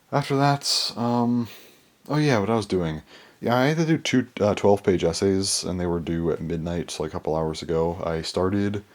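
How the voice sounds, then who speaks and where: Deep-voiced young man, hoarse and rambling. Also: darkish tone. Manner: rambling with many fillers and restarts. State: hoarse, voice worn down. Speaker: toy collector vlogger. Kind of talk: casual rambling update to camera. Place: small bedroom.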